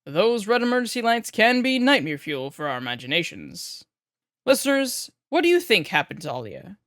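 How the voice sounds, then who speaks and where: A woman's voice: medium pitch, big pitch swings, normal speed, fairly bright tone, no room sound, studio quality. A woman playing the poised host of a late-night paranormal radio show, with a theatrical, intriguing, scripted delivery. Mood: intrigued, mysterious.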